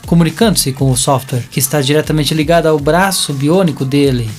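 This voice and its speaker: A man's voice: fairly high pitch, fairly quick, darkish tone. A man with a Brazilian accent reading scripted narration for an audio drama. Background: music.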